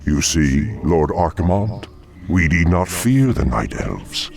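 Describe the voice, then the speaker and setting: An extremely deep, gravelly demon villain, imperious and sneering, in a fantasy game cutscene. He draws his words out very slowly and swings theatrically in pitch. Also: big pitch swings, a little mellow, exaggerated voice. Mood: gloating. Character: menacing, gloating male demonic villain commanding the undead.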